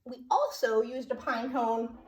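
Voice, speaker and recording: A woman speaks in a high, slow voice, as a librarian does at a preschool storytime. Her delivery is sing-song and full of questions for small children. The room adds a noticeable echo. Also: expressive. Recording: roomy echo.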